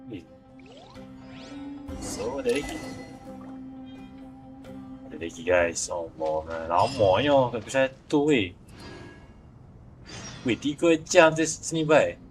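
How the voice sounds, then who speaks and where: A man's voice: mid-range voice, animated, slow, long pauses, music in the background. A man with a Malaysian accent giving casual gameplay commentary as a streamer. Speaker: gaming streamer.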